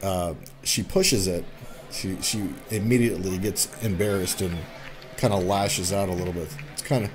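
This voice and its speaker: A man's voice: deep voice, steady tone, normal speed. A man in his thirties doing let's play commentary, reading game text aloud and chattily reacting as he goes. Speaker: gaming let's play host. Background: game music.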